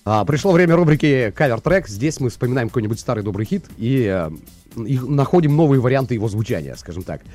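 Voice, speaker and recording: A man's voice: medium pitch, animated, quick pace, darkish tone, soft background music. A man hosting a radio show, chatting loosely with many fillers into a studio microphone. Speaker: radio host. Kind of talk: morning radio show chat.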